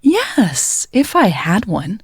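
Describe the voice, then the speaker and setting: A teasing, quick-witted woman in an audio romance, voiced by a woman narrator. Her voice is husky with a creaky edge. She banters playfully and challenges her lover. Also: mid-range voice, huge pitch swings, no echo, pitched above the performer's own voice, heavily acted. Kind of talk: audio story dialogue.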